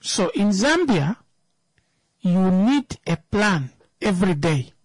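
A woman's voice: mid-range voice, sing-song, slow pace, a little mellow, dry recording, clean studio sound. A woman, giving an emphatic opinion talk that turns into a personal story, repeating words for stress.

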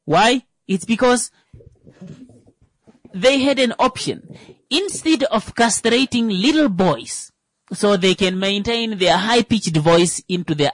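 A man's voice: high voice, no echo. A man hosting a radio talk show, joking and rambling with many fillers. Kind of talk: comedic monologue.

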